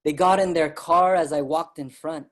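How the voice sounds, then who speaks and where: A man's voice: a little high, fairly even intonation, moderate pace, fairly bright tone, natural voice. An actor, a man, playing an earnest, determined young Filipino migrant laborer who narrates a staged drama. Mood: reflective narration. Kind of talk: dramatic staged reading, first-person narration. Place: virtual live event, remote reading.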